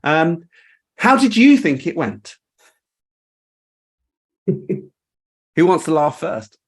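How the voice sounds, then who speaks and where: A man's voice: fairly high pitch, big pitch swings, very slow, breathy edge. A man hosting a casual group video call, talking in an informal, rambling and appreciative way. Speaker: host. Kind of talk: casual chat, reflecting on a creative project.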